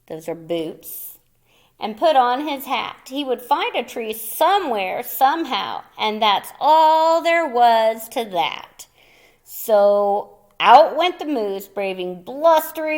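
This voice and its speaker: A woman reading a children's picture book aloud in a theatrical, playful way, with dramatic pauses and little asides for young listeners. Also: a little high. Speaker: storyteller.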